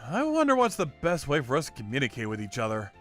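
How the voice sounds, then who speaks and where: Middle-pitched yet swinging widely in pitch, a man doing a blunt, unbothered young man in a manga dub who states hard truths matter-of-factly. Light music sits under his voice. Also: fast talker. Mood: deadpan. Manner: flat, matter-of-fact, bluntly critical. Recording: faint background music.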